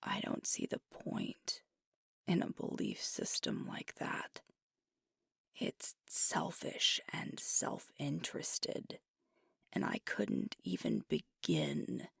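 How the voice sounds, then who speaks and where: ASMR roleplay: actress as female alpha werewolf leader, troubled and conscientious, very low hushed voice, slow and deliberate. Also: wildly swooping pitch, no room sound, clean studio sound, exaggerated voice. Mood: troubled, frustrated. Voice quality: breathy, whispery. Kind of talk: ASMR roleplay monologue, whispered and intimate. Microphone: close microphone.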